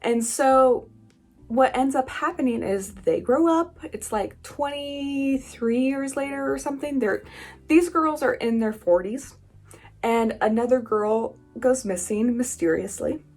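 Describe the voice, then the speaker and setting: Higher voiced woman doing a chatty book review, takes her time, lots of emphatic little asides. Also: a little slow, a little mellow, soft background music. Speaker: book reviewer and channel host. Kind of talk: casual chatty video monologue.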